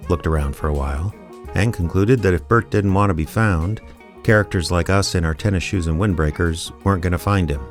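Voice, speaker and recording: An actor playing a wry, world-weary small-town private investigator in a radio drama, with a dry, conversational delivery. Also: male voice, sits low, close to an everyday voice. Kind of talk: first-person detective radio drama narration.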